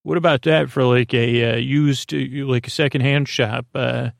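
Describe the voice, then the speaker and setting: A man hosting a sleep podcast in a meandering, halting monologue, often stumbling over his words and circling back. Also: medium pitch, fairly even intonation, vocal fry, dry recording, clean studio sound. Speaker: podcast host.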